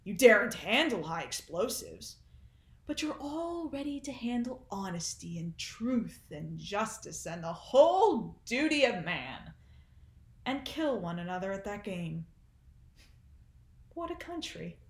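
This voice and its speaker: A woman's voice: mid-range voice, roomy sound. A woman playing a cynical, cruel arms-tycoon father in a stage monologue, with mocking, pompous, theatrical delivery. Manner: sneering, pompous, theatrical oratory.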